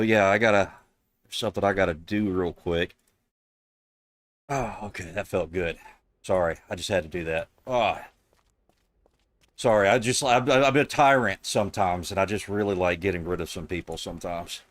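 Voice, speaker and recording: A man with a deep, gravelly voice, hosting a casual chat with friends in a joking, mock-serious way. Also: normal speed, long pauses, dry recording. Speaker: streamer host.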